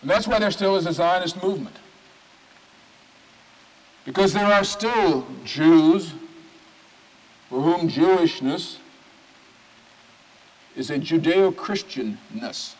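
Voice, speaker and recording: A man, a rabbi, giving a sermon in an oratorical manner, stacking phrases with emphatic pauses. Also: sits high, lively intonation. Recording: reverberant hall recording.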